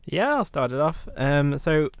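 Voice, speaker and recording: Male podcast debater, clear resonant voice, dry recording, halting and conversational delivery with repeated words. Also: fairly high pitch, sing-song, dry recording. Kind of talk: casual debate chat.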